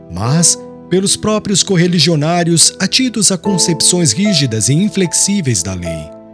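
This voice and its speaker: A man reads scripted literary narration for an audiobook. His voice sits a little high and moves expressively, formal and solemn, with soft music underneath.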